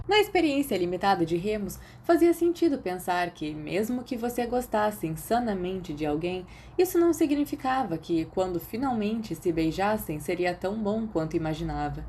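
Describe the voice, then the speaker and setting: A woman with a Brazilian accent reading fiction aloud as an expressive scripted narrator. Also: medium pitch, a little hiss or hum. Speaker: audiobook-style narrator of fan fiction.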